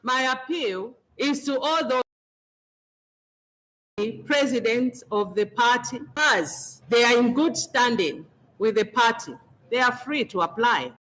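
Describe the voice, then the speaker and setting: A woman's voice: fairly high pitch, lively intonation, slow pace, a bit bright, low-quality recording. A woman with a Zambian accent, a party official giving a media briefing statement phrase by phrase.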